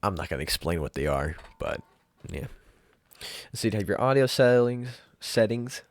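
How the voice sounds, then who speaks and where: Male tutorial presenter, deep voice, dry close recording, loose and unscripted, informal and offhand. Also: sing-song, moderate pace, no room sound. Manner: offhand, rambling, informal with mild swearing. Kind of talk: casual software tutorial, off-the-cuff.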